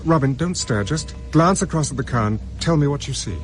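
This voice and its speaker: A man's voice: a little high, lively intonation, fairly quick, soft background music, close to an everyday voice. An actor playing a hunted ex-army man in a radio drama, terse and guarded, with street and vehicle noise around him. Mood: urgent, tense. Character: hunted male ex-army fugitive, guarded, resourceful.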